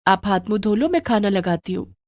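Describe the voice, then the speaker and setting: A woman voicing a caring, responsible young daughter in a moral story, gentle and reassuring. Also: mid-range voice, lively intonation, brisk, no room sound, highs cut off, lightly acted. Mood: concerned, reassuring. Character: caring, responsible young daughter looking after her family.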